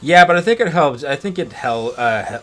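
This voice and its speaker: A man's voice: on the high side, lively intonation, brisk, fairly bright tone. Stammering, rambling man chatting over game music. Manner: rambling, stammering with repeated words. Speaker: gaming video co-host. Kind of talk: casual let's play chat. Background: video game music.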